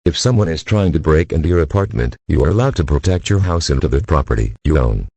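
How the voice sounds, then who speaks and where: Man as a stern emergency alert announcer, extremely low husky voice, formal, measured, impersonal, dry sound. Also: animated, darkish tone, no room sound, exaggerated voice. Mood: grave, official. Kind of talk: scripted emergency broadcast announcement.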